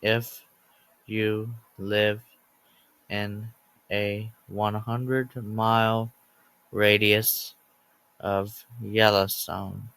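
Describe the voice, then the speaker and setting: A man reading a scripted emergency alert announcement as narrator, in a halting way that breaks sentences into short groups of words. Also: fairly low pitch, flat delivery, creaky, a bit bright, clean tone, dry recording, rough recording.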